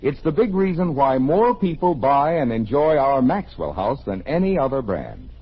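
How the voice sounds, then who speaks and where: An American man reading a scripted sponsor pitch in an emphatic vintage radio announcing style. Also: very animated, normal speed, breathy edge. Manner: emphatic sales delivery. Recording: muffled old radio broadcast.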